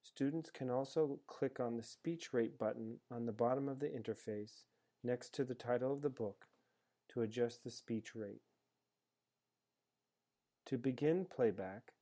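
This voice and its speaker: Male narrator for a step-by-step instructional screencast; soft-edged, mellow tone, measured explanatory read. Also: mid-range voice. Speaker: narrator of a software tutorial. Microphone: screencast voice-over.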